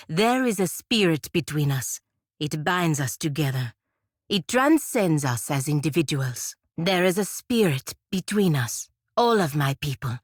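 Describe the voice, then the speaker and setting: Stern patriotic warrior, low female voice, formal, earnest, measured, very dry recording, fantasy game. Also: no room sound. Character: proud, stern, devoted patriot warrior woman of a fantasy republic. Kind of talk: scripted video game character dialogue.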